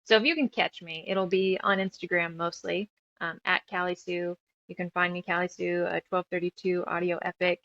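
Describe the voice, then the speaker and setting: A woman's voice: fairly low pitch, level tone, normal speed, a bit bright, no echo, highs cut off. A woman chatting casually as an interview guest, talkative and self-deprecating. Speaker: guest author and musician.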